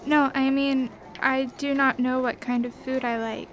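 A woman's voice: high voice, little pitch movement, unhurried, a bit bright, faint music behind, low-quality recording. A woman acting as a naive, earnest lost alien girl in a radio drama, speaking formally without contractions. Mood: hesitant, guarded.

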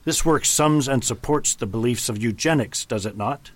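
A cold, formal university chancellor, played by a man, clipped and unbending. He speaks at an even, middle pitch. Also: moderate pace, no room sound, lightly acted. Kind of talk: radio drama acting.

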